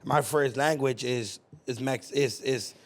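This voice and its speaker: A young man from California hosting a casual podcast chat, animated and teasing, swearing freely. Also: medium pitch. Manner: animated, swearing, quick to tease. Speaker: podcast host.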